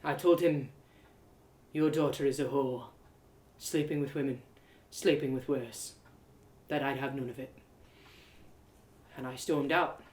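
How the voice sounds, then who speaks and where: A woman's voice: rumbling low, steady tone, unhurried, pauses often, darkish tone, voice pushed down. A woman playing a bitter, wounded young man in a dramatic audio story, brooding and anguished. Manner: brooding, anguished, matter-of-fact. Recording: roomy, echoey live reading.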